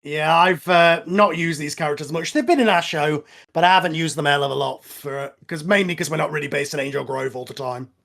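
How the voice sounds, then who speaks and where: A man's voice: fairly high pitch, a little fast, vocal fry, few pauses, a bit bright. Man hosting a casual film commentary. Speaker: host and writer of an audio drama.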